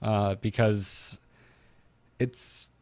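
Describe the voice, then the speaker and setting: A man's voice: on the low side, steady tone, extremely slow, a little mellow, no room sound. Man co-hosting in a very dry room. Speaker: podcast co-host. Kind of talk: casual chat about game lore.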